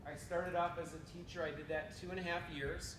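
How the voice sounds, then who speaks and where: Man telling stories in a roomy hall. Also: fairly quick. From North Dakota. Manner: relaxed storytelling with dry humor. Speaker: human resources administrator. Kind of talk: telling his life story in a talk. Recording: roomy echo from far off, rumble.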